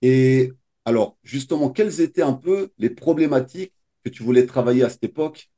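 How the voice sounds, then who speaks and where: A man with a mellow, warm voice hosts a testimonial interview as a coach. He puts his questions in a measured, formal way. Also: mid-range voice.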